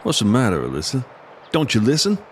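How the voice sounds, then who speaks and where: An actor playing a gruff older mountain man in an audio drama, a blunt, folksy combat mentor who teases dryly. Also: wildly swooping pitch, fairly quick, very noisy. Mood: firm, coaching. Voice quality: deep, gravelly.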